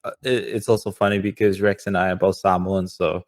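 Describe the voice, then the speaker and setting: A man's voice: sits low, little pitch movement, brisk. A man co-hosting a podcast in casual, slangy conversation, often circling into his point with filler words. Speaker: podcast co-host. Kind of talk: casual chat.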